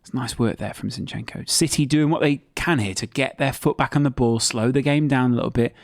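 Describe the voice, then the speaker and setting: A man's voice: mid-range voice, brisk, creaky, no room sound. Teasing man with a dry sound. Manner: teasing, sarcastic, deadpan ribbing. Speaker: host reading the live chat. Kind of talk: casual live match watchalong chat.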